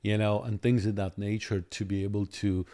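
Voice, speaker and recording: Low, mellow-voiced Armenian-accented man. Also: steady tone, moderate pace. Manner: earnest, repeats words when starting a thought. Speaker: guest lawyer. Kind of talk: podcast interview. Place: podcast studio.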